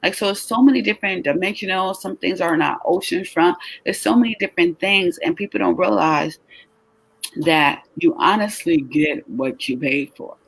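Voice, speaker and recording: Tired woman with a raspy, gravelly voice. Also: lowish voice, moderate pace, no echo, low-quality recording. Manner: lively, talks with her hands in her voice, leaning on questions to the listener. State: tired, short on sleep. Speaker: travel business owner and host. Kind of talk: casual chat.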